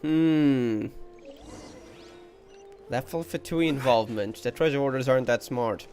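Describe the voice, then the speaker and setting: A man with a medium-pitched voice does casual game commentary. He muses aloud in short, offhand remarks. Quiet music runs underneath. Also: unhurried. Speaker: game streamer.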